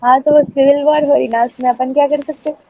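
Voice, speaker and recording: A woman's voice: high-pitched, steady tone, fast talker, a little mellow, no echo. A young woman arguing defensively in an online debate, slipping into English phrases. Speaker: debate guest. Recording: dull lo-fi line.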